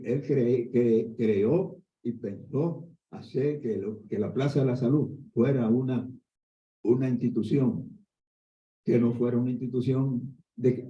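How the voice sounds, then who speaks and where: An older man, an orthopedic doctor giving a conference lecture in a lively storytelling way. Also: medium pitch. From Dominican Republic. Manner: conversational, animated storytelling with asides. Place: conference hall. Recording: echoey hall, dull lo-fi sound.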